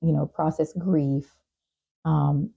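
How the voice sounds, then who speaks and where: Low, husky woman hosting an interview. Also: steady tone, slow, warm tone. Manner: affirming, with frequent short acknowledgements. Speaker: talk show host, interviewer. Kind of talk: conversational interview questioning.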